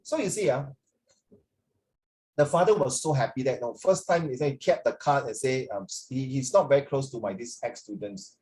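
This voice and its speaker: A man's voice: medium pitch, normal speed, a little mellow, poor audio. A middle-aged man with a Singaporean accent, chatting informally as a language teacher and slipping between English and Mandarin, with frequent tags like "ah". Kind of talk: informal classroom talk with students.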